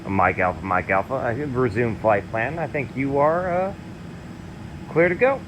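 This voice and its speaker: Young man, mid-pitched, sloppy slurred controller talk, voice chat, loud game noise. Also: expressive, moderate pace. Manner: loose, playfully slurred, hesitant. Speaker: joking air traffic controller player.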